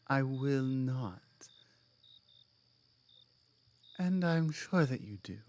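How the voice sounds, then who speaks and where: Woman playing a slow-talking, proud orc woman. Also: low voice, dark tone, full character voice. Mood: insistent, earnest. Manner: earnest, deliberate, firm, with measured pauses. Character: strong, proud, stubborn female orc from a distant tribe. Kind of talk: ASMR audio roleplay, one-sided dialogue.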